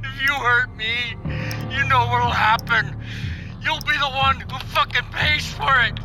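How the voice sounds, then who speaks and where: A man's voice: very high voice, steady tone, measured pace, over music, rough recording. An actor playing a desperate, agitated male captive who pleads and threatens in an audio drama. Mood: desperate threatening. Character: desperate captive man, pleading, then menacing.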